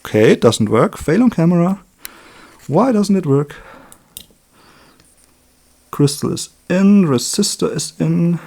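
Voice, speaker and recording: Higher-voiced man thinking aloud. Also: lively intonation, takes it slowly, creaky, pauses often, warm tone. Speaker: electronics hobbyist presenter. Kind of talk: unscripted hands-on electronics demonstration.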